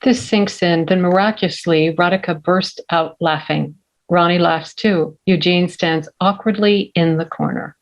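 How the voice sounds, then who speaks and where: A woman's voice: lowish voice, steady tone, a little slow. A woman reading screen directions as scripted narration. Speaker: stage-direction reader. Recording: slightly dull, lo-fi sound.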